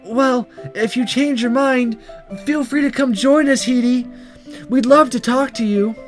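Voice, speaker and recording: A man voicing a gentle, worried, motherly schoolgirl class representative in a visual novel read aloud. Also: extremely high pitch, steady tone, unhurried, faint music behind, voice pushed up. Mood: friendly.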